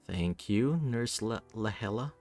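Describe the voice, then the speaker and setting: A composed hospital doctor, acted by a man with a fairly low voice. He is welcoming and professional, a visual novel love interest speaking calmly over music. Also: unhurried. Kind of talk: visual novel character reading.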